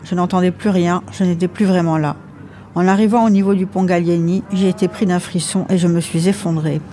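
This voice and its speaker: A woman's voice: fairly low pitch, steady tone, brisk, dark tone, slight background noise. A woman reading scripted first-person narration from a novel. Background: faint music.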